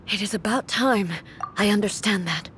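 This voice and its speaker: A woman voicing a solemn, resolute and regal deity in a video game, speaking formally and with measured weight. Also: mid-range voice, a bit bright, a little hiss or hum. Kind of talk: dramatic video game voice acting.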